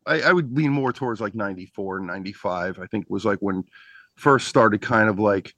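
A middle-aged man from Pennsylvania, a band's singer and bassist, chatting on a video call in a rambling way with many restarts. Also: normal speed, fairly bright tone, no room sound, muffled recording. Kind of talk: casual interview chat.

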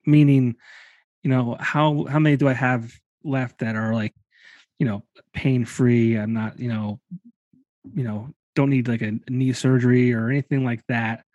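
A man's voice: mid-range voice, steady tone, unhurried, darkish tone, dry recording, highs cut off. A man in his late thirties hosting a podcast, musing casually with loose fillers. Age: 30s. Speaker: podcast host. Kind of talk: casual conversation.

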